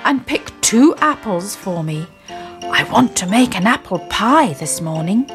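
A woman's voice: sing-song, unhurried. A woman voicing an old, slightly deaf village lady in a storybook tale, calling out her requests. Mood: requesting. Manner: calling out, high-voiced. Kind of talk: storybook character voice. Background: music.

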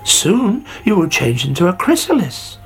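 A man's voice: high voice, wildly swooping pitch, a little slow, pitched above the performer's own voice, heavily acted. A man voicing a friendly female butterfly, amused and reassuring, in a children's story.

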